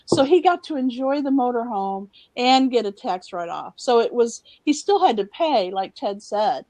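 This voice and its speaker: A woman with a fairly high voice tells a chatty story on a talk show, moving quickly and leaning on "you know". The sound is dry and close, with no room echo. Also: lively intonation, darkish tone. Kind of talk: casual anecdote on a talk show.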